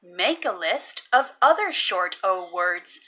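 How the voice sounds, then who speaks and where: Female read-aloud narrator for young children: very slow, hugely varied pitch, sing-song and deliberate, stressing key words. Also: very animated, bright, forceful tone. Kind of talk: expressive picture-book reading with phonics teaching.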